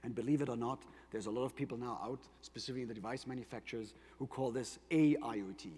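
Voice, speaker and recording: A man's voice: mid-range voice, fast, darkish tone, slightly rough. A man with a German accent giving an explanatory keynote tech presentation, emphasising points with rhetorical questions. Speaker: conference presenter for a tech company. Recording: reverberant large hall.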